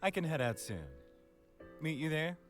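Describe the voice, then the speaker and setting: A man's voice: fairly high pitch, lively intonation, moderate pace, natural voice. A man playing a flirtatious, playful fantasy love interest in a visual novel game. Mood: playful teasing. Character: smooth-talking, teasing fantasy love interest in a dating game. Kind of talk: visual novel character acting. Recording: music under the voice.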